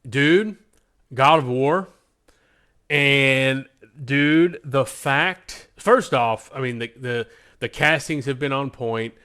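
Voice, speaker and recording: A man's voice: medium pitch, slow pace, bright, forceful tone, clean tone. An American man co-hosting a podcast in casual, enthusiastic pop-culture chat, speaking emphatically. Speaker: podcast co-host.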